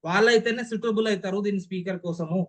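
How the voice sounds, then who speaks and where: A young male trainer with a Telugu accent speaks in a notably high voice. He lectures an online class emphatically, leaning on key words. He keeps putting rhetorical questions to his listeners to check that they follow. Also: fast.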